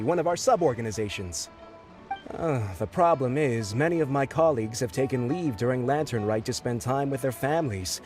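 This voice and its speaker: A man's voice: medium pitch, darkish tone, natural voice. Game NPC male actor: courteous, formal office clerk, mid-range voice, slightly flustered, light music behind. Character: polite, harried male logistics office clerk. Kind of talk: video game character acting.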